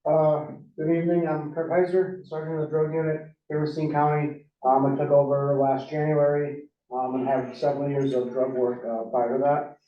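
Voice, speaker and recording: Earnest police sergeant in an echoey hall. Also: male voice, on the high side, little pitch movement, moderate pace. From Wisconsin. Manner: earnest, hesitant with many fillers. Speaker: police drug unit sergeant. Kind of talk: public meeting presentation. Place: large town meeting hall. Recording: echoey meeting hall, far mic, dull.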